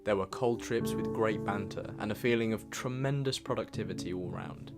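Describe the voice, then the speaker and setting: A man reading a scripted, reflective year-in-review narration in a measured way as a vlog narrator. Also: fairly low pitch, over loud music.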